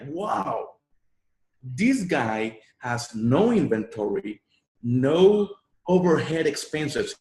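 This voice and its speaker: A man's voice: medium pitch, darkish tone, reverberant, low-quality recording. A man from Puerto Rico with a Puerto Rican Spanish accent, Spanish his first language, telling his story as an interview guest in an earnest way, often repeating words. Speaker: guest, online marketer.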